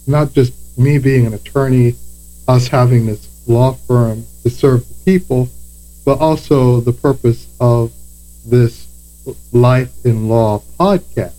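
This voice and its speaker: A man, an attorney, hosting a podcast and speaking in deliberate, measured, list-like phrases. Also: mid-range voice, dark tone, rough recording. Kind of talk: podcast talk.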